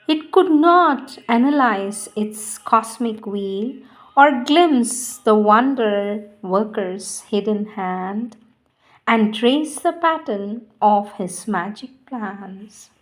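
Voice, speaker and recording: A woman giving a measured, reverent devotional reading of poetry and scripture, pausing between phrases. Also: a little high. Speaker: devotional reader.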